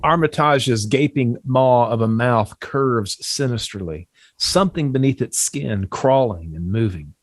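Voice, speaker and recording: A man's voice: mid-range voice, a little slow, few pauses, no room sound, clean studio sound. A man reading stage directions from a horror screenplay as scripted narration.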